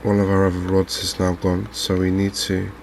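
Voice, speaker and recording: A man giving calm, understated, softly spoken ASMR commentary on a video game, in an unhurried way. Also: deep voice, vocal fry, slight background noise. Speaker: gaming video host.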